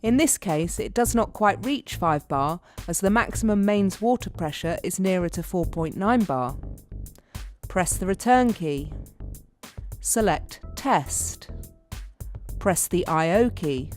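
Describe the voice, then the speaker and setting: A woman narrates an instructional training film with a measured, step-by-step delivery. Her voice sits at an average pitch for a woman, and music plays behind her as she reads from a script. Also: lively intonation.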